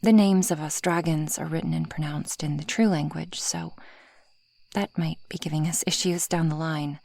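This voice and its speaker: A woman voicing a possessive, proud female dragon in an ASMR roleplay, with formal, haughty phrasing and a breathy, husky voice that often drops toward a whisper. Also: deep voice, steady tone, moderate pace, a little mellow, dry recording. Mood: explaining, careful. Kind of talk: ASMR roleplay addressed to the listener.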